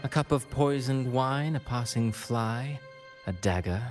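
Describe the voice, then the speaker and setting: A man playing a calculating, courtly villain in a video game, with soothing, measured and eloquent delivery. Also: mid-range voice, natural voice. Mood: courteous, sly. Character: suave, calculating male villain with theatrical, courtly speech. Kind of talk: scripted video game acting. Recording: music playing behind the dialogue.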